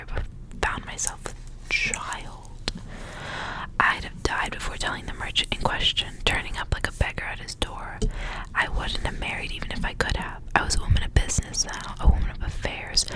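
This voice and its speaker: A woman whispering softly as she reads aloud in an ASMR style. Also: poor audio. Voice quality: soft unvoiced whisper. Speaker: ASMR reader and channel host. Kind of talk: whispered ASMR storybook reading with casual asides.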